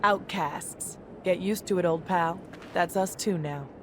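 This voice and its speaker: Low-voiced cocky woman played by an actress, noisy backdrop. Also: a little slow, close to an everyday voice. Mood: wry, confident. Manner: teasing, self-assured. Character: cocky, wry young woman outcast fighter. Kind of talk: video game voice acting. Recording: game dialogue over loud ambient noise.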